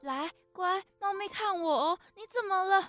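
Doting, anxious animal lover for radio play, played by a woman; extremely high, cooing, urgent voice, faint music. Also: normal speed, a bit bright. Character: doting cat rescuer, anxious and tender. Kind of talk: amateur radio drama acting.

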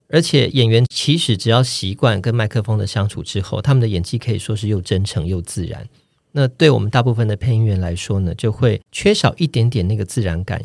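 Fast-talking man with Taiwanese Mandarin accent, crisp diction. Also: lowish voice, darkish tone, no echo, clean studio sound. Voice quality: clearly enunciated, standard pronunciation. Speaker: voice actor, podcast guest. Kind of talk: conversational interview answers.

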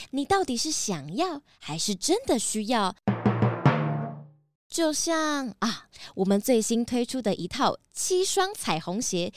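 A woman's voice: on the high side, no echo. A woman with a Taiwanese Mandarin accent voicing a vain, gushing pelican business tycoon in a children's detective radio play. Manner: boastful, self-satisfied, salesy.

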